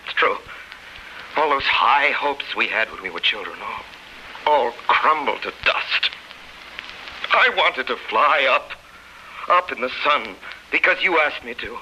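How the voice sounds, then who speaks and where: An actor playing an anguished, devoted prisoner in a solemn, impassioned 1930s radio drama style. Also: male voice, medium pitch, animated, unhurried, long pauses, sharp tone, slight background noise, poor audio. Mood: despairing. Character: anguished, devoted middle-aged male prisoner looking back on love. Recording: 1930s radio broadcast with music.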